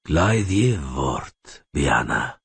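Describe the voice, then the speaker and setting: A man voicing a calm, commanding male elf rider in an audiobook, speaking slowly and with measured control. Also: very deep voice, creaky, breathy edge, dry recording, pitched below the performer's own voice, exaggerated voice.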